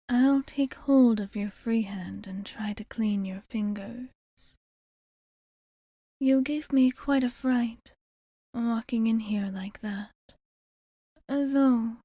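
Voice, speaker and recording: A woman voicing a composed, protective vampire bartender in a soft, formal ASMR roleplay, half-whispered close to the microphone. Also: fairly high pitch, no room sound. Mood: gently concerned. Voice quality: soft, breathy, often near a whisper. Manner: formal, measured, hesitant wording. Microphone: close microphone, dry sound.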